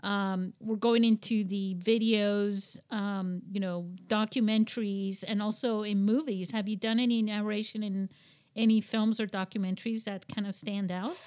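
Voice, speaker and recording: A woman's voice: mid-range voice, steady tone, moderate pace, darkish tone. A woman hosting a casual, conversational interview. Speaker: interview host.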